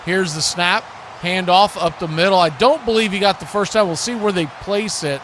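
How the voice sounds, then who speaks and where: A man with a high, bright voice calls live football for a stream. He moves between play-by-play and casual chat with folksy turns of phrase. There is some noise behind his voice. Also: moderate pace.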